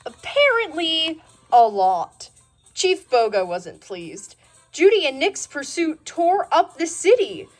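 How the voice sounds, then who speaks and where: A woman reading a children's storybook aloud in an expressive storytelling style, as narrator. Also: high voice, slow, faint music behind. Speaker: storybook reader.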